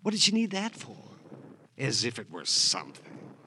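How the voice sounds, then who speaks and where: An actor playing an edgy, possessive, self-justifying husband in an old radio crime drama, flustered and evasive. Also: male voice, medium pitch, wildly swooping pitch, moderate pace, pushed and bright, heavily acted. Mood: possessive, bitter. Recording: noisy old radio broadcast recording.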